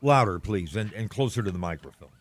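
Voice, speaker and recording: A man playing an earnest, flustered American radio news reporter in a radio play, halting as he describes what he sees. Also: lowish voice, animated. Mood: polite, impatient. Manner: halting, descriptive, searching for words.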